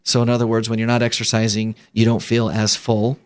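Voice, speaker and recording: A man's voice: fairly low pitch, monotone, a little fast, no echo. Chatty male radio host. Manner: chatty, laughs often, many fillers and restarts. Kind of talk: casual studio chat. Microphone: studio microphone.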